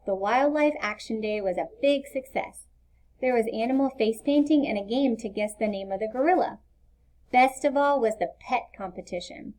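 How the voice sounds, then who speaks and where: Higher-voiced woman reading a children's storybook. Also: a little mellow, poor audio. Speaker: storybook reader. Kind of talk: scripted children's read-aloud narration.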